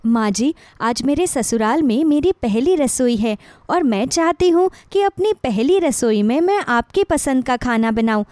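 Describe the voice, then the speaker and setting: Woman voicing a high-pitched, sweet, dutiful young bride. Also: animated, unhurried, darkish tone, no echo. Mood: eager, respectful. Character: young, sweet, dutiful, eager-to-please new daughter-in-law. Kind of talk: acted dialogue in a moral story.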